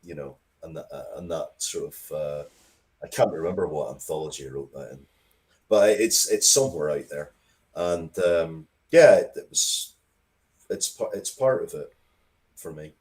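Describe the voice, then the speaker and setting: Very deep-voiced man, casual storyteller. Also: normal speed, a little mellow. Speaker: interviewed writer of comics and stories. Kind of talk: casual interview, storytelling about own work.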